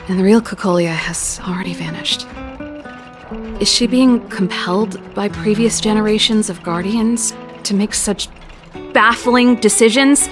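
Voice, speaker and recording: An actress voicing a wry, candid young exiled scientist in a video game, talking in a reflective, articulate way. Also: female voice, medium pitch, close to an everyday voice. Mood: wistful, troubled. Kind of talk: scripted dramatic game dialogue. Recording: loud background music under the voice. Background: game cutscene music.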